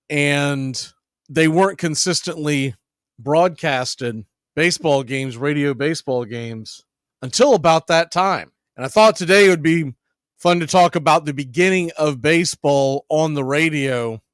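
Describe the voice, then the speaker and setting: Higher-pitched male host, dry close sound. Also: no echo. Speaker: host of a baseball radio show. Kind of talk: casual monologue to listeners about baseball history.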